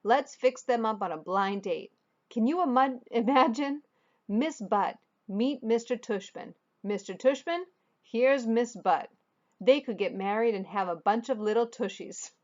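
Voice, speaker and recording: An excitable, joking storybook dad, voiced by a woman reading aloud. The pitch is lifted and the delivery is teasing and full of exclamations. The recording sounds a bit dull. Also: a little slow, natural voice.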